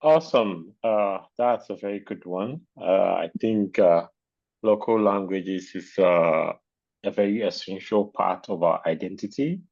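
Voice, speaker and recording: A man's voice: low voice, measured pace, vocal fry, a little mellow. Man with a Nigerian accent, lo-fi call. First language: Yoruba. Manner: hesitant, many uh fillers and restarts, chuckles. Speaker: webinar host. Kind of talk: casual webinar chat. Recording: dull lo-fi video call audio.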